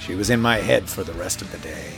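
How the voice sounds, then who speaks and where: A man's voice: sits low, fast, gravelly, music in the background. A young man playing an eager, smitten rookie late-night radio DJ in an audio drama, with a casual, slangy manner. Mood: brooding narration.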